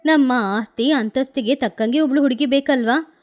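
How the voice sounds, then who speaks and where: A woman's voice: high-pitched, moderate pace, faint music behind, lightly acted. A woman voicing a proud, fussy village mother with a complaining manner in a storybook tale. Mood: fretful.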